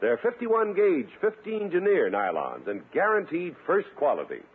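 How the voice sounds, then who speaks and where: A man's voice: fairly high pitch, huge pitch swings, normal speed, husky. A man announcing on 1950s radio in a scripted, period announcer style. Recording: tinny vintage radio broadcast. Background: loud music.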